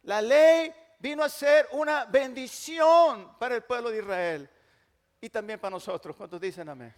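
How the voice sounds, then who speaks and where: A male pastor, preaching emphatically and moving from loud declarations to hushed, drawn-out phrases. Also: very high-pitched. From Mexico. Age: 60s. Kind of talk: sermon. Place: large church hall. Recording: long church hall reverb.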